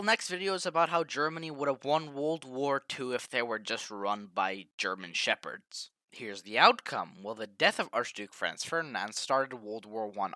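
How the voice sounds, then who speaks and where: A man's voice: mid-range voice, pushed and bright, dry recording. A man hosting an informal comedy history video, narrating in a jokey, slangy, irreverent way. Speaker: host of a history video series.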